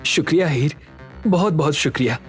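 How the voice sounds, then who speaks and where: A man voicing an earnest, caring young village schoolteacher in an animated story, with an explanatory manner. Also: on the high side, brisk, soft background music, close to an everyday voice. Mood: grateful. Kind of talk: Hindi animated story voice acting.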